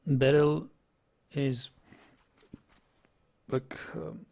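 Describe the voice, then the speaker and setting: Slow, creaky man musing. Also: a little high, fairly even intonation, a little mellow. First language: Czech. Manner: hesitant, musing, many ums and restarts. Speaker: let's play host. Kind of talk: gameplay commentary.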